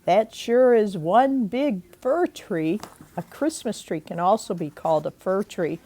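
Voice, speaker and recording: An older woman reading a storybook aloud in an expressive, deliberate way, pausing for asides and questions to young listeners. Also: mid-range voice. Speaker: grandmother reading a picture book aloud. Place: indoors at home.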